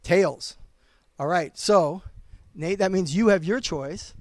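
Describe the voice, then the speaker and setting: A man's voice: sits high, takes it slowly, dry recording. A man hosting ringside, interviewing fighters in a casual, upbeat and joking way. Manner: upbeat, joking, laughs often. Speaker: ringside host and referee.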